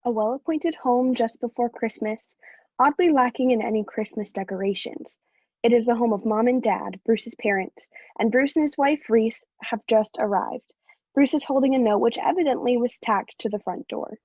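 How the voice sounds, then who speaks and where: A woman's voice: on the high side, normal speed, a little mellow. A woman reading stage directions as scripted narration for a play, on dull online audio.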